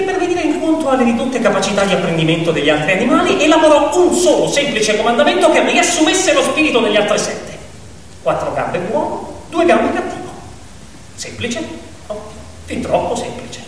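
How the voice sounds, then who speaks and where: Expressive stage narrator in a very echoey hall. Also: medium pitch. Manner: expressive, conversational asides to the audience. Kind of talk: theatrical storytelling of an animal fable. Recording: echoey hall, distant mic, faint noise.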